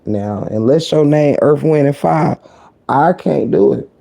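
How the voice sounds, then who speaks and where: A woman with a deep, croaky voice giving sassy, blunt live-stream gossip commentary, full of cursing and kept low so she stays quiet. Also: animated, unhurried. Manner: talking low to keep quiet.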